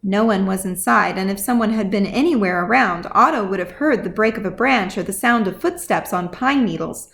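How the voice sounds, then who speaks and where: A woman's voice: mid-range voice, brisk, vocal fry, hardly any pauses, a bit bright. A woman reading scripted fantasy fiction as audiobook narration, as the author of the story.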